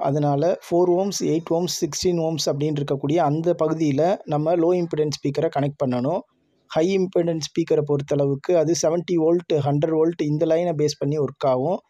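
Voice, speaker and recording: Mellow, higher-pitched man explaining methodically. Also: no room sound. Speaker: tutorial presenter. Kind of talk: scripted instructional narration. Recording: dull lo-fi audio.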